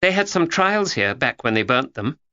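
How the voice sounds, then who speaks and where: A man's voice: mid-range voice, very animated, moderate pace, pushed and bright, dry recording, highs cut off, pitched above the performer's own voice. A man voicing a chattering, know-it-all schoolgirl who lectures and teases. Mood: gossipy. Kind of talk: audiobook character voice.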